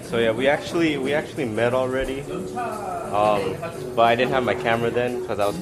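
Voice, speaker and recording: A man's voice: mid-range voice, steady tone, fairly quick. A young man from America hosting a casual vlog, chatting and laughing often and mixing in Korean phrases. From United States. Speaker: vlog host. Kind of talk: casual vlog chat and interviews. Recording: busy crowd and music behind.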